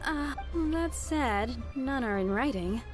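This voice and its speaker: Courteous female shopkeeper for a fantasy game, higher pitched and very animated, music underneath. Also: slow pace. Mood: businesslike, curious. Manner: courteous, businesslike. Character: polite, chatty female merchant in a fantasy game. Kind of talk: scripted video game dialogue.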